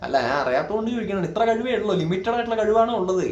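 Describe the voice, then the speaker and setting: A man delivers opinionated commentary in an echoey room. His pitch swings widely as he scolds and presses his points with indignant rhetorical questions. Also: expressive, very fast, lo-fi sound. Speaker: reaction video creator.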